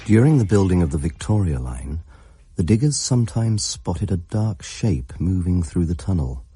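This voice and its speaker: Grave radio-drama narrator, very low, husky, measured, ominous. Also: male voice, big pitch swings, warm tone, soft background music, full character voice. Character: grave male narrator of dark Underground lore.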